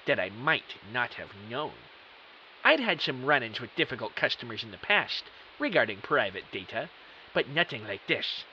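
A man's voice: mid-range voice, bright, forceful tone. A man playing a bewildered computer technician who narrates his own mystery audio drama with earnest, melodramatic emphasis. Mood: puzzled. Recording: dull, hissy audio.

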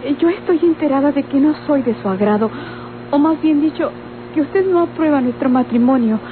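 High-voiced, formal Mexican young lady played by a woman. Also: brisk, phone-quality sound, natural voice. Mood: hurt. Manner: formal, apologetic. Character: polite, wounded young fiancée. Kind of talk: old radio drama acting. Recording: noisy, band-limited old radio recording.

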